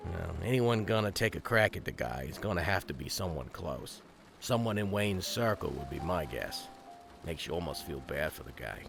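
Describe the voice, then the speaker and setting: An actor playing a gruff, cynical, talkative police detective in an audio drama, sardonic and full of streetwise slang. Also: male voice, deep voice, very animated, normal speed, exaggerated voice. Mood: wry, matter-of-fact. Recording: music behind the voice.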